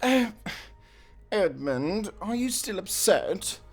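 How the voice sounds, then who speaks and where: A man playing a flamboyant, lecherous vampire aristocrat who pleads theatrically in a dark comedy audio drama. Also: high voice, big pitch swings, slow pace, heavily acted. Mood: defensive. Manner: theatrical, drawling, dramatic sighs and exclamations.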